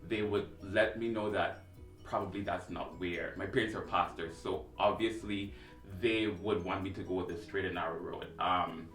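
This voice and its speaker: A man's voice: low-pitched, fairly even intonation, moderate pace, a bit bright. A man from Guyana, a fashion designer, chatting loosely and laughing easily. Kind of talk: casual chat. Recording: roomy, distant mic, faint music.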